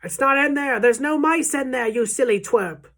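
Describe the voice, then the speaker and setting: Rough, jeering kitchen hand for children's story, man acting. Loud, high, shouted commands. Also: moderate pace. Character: rowdy mob of jeering male kitchen cooks.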